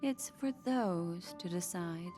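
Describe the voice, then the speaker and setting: A woman's voice: lowish voice, expressive, slow, warm tone. An actress playing a sly, elegant, teasing mystic woman in a video game. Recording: music behind the voice.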